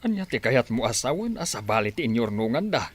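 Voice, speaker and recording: A man playing an exasperated old farmer father in a radio drama, husky and given to sighing complaints. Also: mid-range voice, sing-song, brisk. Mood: dismayed. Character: exasperated old village farmer father, worried over mortgaged field. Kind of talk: Ilocano radio comedy drama acting.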